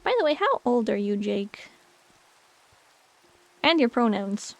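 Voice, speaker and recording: A woman's voice: a little high, sing-song, takes it slowly, creaky. A woman in her late twenties chatting casually with viewers on a game stream, rambling with many fillers. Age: 20s. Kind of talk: casual chat with chat while gaming.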